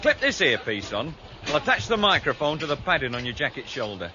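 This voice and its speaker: A steady, practical police aide. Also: male voice, fairly high pitch, brisk. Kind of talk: radio drama acting. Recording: dull radio play recording with clear noise.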